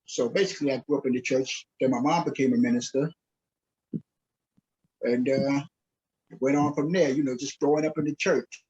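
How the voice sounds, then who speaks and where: A man from Queens with a husky voice at a middling pitch. He gives casual interview answers as a podcast guest. The sound is a little dull, like a lo-fi call. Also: steady tone, moderate pace, long pauses, darkish tone. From Queens, New York, USA. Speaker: podcast guest, minister, author and coach. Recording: slightly dull, lo-fi call audio.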